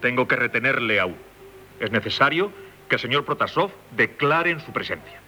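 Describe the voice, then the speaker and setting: Bright-voiced, insistent Spanish magistrate. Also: male voice, medium pitch, lively intonation, normal speed. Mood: stern, official. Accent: Castilian Spanish. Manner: formal, probing, insinuating. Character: insistent, formally courteous examining magistrate, male. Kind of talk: 1970s Spanish radio theatre acting.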